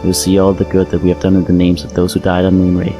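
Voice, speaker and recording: An actor playing a solemn, resolute fungal alien in an audio drama, speaking in a measured, halting, heartfelt way. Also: male voice, sits low, completely flat delivery, over music, lightly acted. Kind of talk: full-cast audio drama acting.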